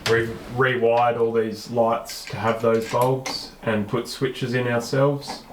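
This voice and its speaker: A man's voice: medium pitch, little pitch movement, slow. A man with the flu, sounding sniffly, thinking aloud as he does his own wiring inside a small boat cabin. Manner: thinks aloud hesitantly while working with his hands. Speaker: boat owner doing DIY wiring. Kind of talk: casual vlog narration while working. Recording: noisy background.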